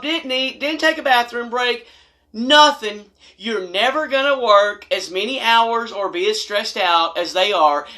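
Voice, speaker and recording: Bright-voiced, emphatic American man. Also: high-pitched. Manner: folksy, blunt, emphatic, animated. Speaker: video host telling stories and advice. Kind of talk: humorous storytime monologue to camera.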